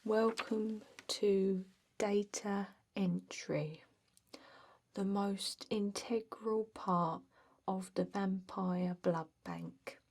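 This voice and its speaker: Slow, whispering deadpan energy vampire played by a woman. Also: mid-range voice. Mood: droning, reading. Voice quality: soft, breathy, often whispered. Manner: halting, monotone, hesitant, deadpan. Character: bored, droning female energy vampire office clerk named Evie. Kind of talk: scripted ASMR roleplay.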